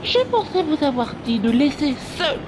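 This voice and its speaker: A woman's voice: high voice, animated. A woman voicing a mocking, theatrical fortune-telling villainess in a cartoon comic fan dub, taunting and drawing out her words. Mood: irritated. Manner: taunting, drawn-out, swooping. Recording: music behind the voice.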